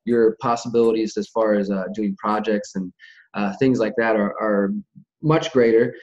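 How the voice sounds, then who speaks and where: Dull, lo-fi conference call audio carries the low voice of an American man. He hosts the call in an informal, conversational way, explaining his points step by step with everyday examples. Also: fairly even intonation, moderate pace, darkish tone. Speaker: host of a community organizing conference call.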